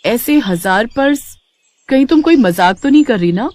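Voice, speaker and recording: A woman's voice: fairly high pitch, lively intonation, normal speed, a little mellow, a little hiss or hum, voice pushed up, lightly acted. A woman voicing an earnest young daughter-in-law in a moral story, emotive in manner. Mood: doubtful. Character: determined young daughter-in-law, hopeful purse maker.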